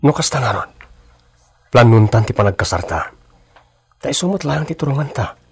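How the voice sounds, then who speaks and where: An actor with a Filipino Ilocano accent playing a polite, determined suitor of twenty-three in a radio drama, with a husky voice. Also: male voice, medium pitch, animated, moderate pace, a little mellow. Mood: earnest, reassuring. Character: earnest 23-year-old suitor, polite and determined.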